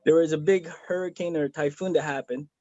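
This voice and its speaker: A man's voice: on the high side, steady tone, a little fast, warm tone, dry recording, dull audio. A man from the Philippines giving an earnest, rambling testimonial over a video call, with many fillers and restarts. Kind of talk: casual video-call chat.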